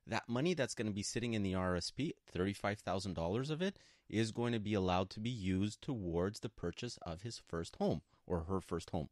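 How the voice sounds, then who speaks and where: Low-pitched man, emphatic radio host. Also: lively intonation, brisk, a little mellow, no room sound, studio quality. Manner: emphatic, assertive, repeats points for stress. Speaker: radio show co-host and broker. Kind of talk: conversational radio advice talk. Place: radio studio.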